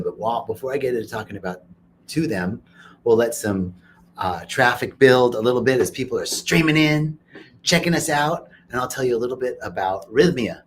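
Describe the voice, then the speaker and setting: A man hosting a casual interview at a retreat center, upbeat and joking and quick to laugh. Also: lowish voice, slight background noise. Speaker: host. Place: retreat center in Costa Rica.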